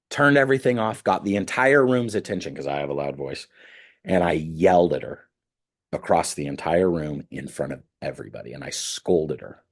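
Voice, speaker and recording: A man with a deep, husky voice teaches a ministry class. He tells candid stories from his own life, and his voice jumps up high when he gets worked up. He sounds like a pastor talking straight with his students. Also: normal speed. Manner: candid, animated storytelling, voice jumps up when excited.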